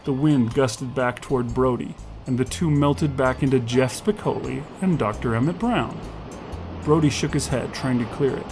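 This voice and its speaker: A man's voice: medium pitch, normal speed, music in the background, low-quality recording. A man narrating scripted fiction as the storyteller of an audio tale.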